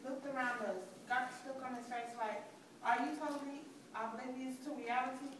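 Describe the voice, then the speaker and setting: A woman's voice: high voice, fairly even intonation, normal speed, natural voice. Young woman playing scared civil rights marcher telling her story, dramatic reading, super echoey and distant. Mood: tense recollection. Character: frightened young civil rights marcher recalling a hostile crowd. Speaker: student performer in a dramatic reading. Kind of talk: dramatic reading of poetic first-person testimony. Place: large echoey indoor room, distant mic. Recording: very echoey hall from far off, noisy.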